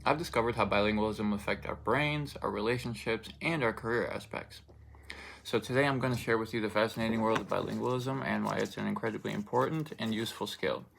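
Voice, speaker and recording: Gravelly low-voiced young man. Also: fast, a bit bright, a little hiss or hum. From Russian-speaking country. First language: Russian. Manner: loose, filler-heavy delivery with many restarts. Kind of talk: informative speech.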